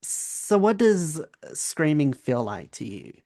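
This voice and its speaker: A man's voice: a little high, sing-song, takes it slowly, mellow, no room sound. A young man in his twenties hosting a podcast, chatty and self-deprecating. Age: 20s. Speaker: podcast host. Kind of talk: casual interview chat.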